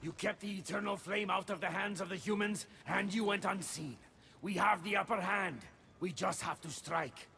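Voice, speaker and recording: A man's voice: high-pitched, moderate pace, gravelly, sharp tone, slight background noise, full character voice. An actor playing an anxious, devoted male god in a video game cutscene, speaking in an earnest, theatrical, formal way. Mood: vengeful. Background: music.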